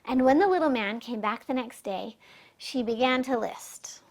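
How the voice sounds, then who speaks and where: A woman's voice: fairly high pitch, normal speed. A woman storyteller reading a fairy tale expressively for children.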